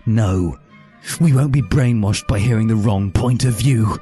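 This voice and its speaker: A man voicing an indignant, defiant teenage boy revolutionary who yells, in a satirical story. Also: fairly low pitch, unhurried, faint music behind, lightly acted. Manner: yelling, defiant.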